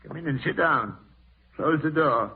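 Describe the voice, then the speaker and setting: A man's voice: a little high, expressive, normal speed, background noise. An actor playing an aged, irascible dying man haunted by fear, in a 1940s radio drama style. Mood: gruff, irritable. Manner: crotchety, brusque. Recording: muffled distant husky old radio recording.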